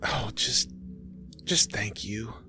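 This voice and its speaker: A man's voice: fairly low pitch, takes it slowly, bright, forceful tone, a little hiss or hum, exaggerated voice. A man voicing a nervous, gushing young male admirer in his early thirties, stammering politely in a horror story. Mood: awed, grateful.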